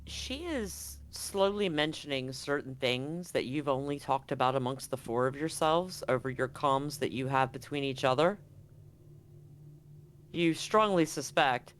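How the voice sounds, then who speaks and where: A man's voice: medium pitch, normal speed, fairly bright tone. A man running a tabletop roleplaying game, narrating off the cuff as game master. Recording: live stream with background noise.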